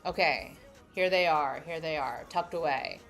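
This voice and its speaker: Woman, New York accent, young adult, somewhat low voice, casual live-stream chatter, thinks aloud. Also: a little slow, fairly bright tone, faint music behind. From New York City. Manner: chatty, thinks aloud, reads chat comments. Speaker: livestream host.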